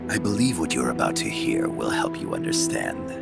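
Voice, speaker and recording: A man playing an older, scheming Soviet KGB agent in a game cutscene, with a gravelly voice and measured, formal delivery. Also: very deep voice, very animated, over loud music, exaggerated voice. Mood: measured, sly. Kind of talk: dramatic game cutscene acting.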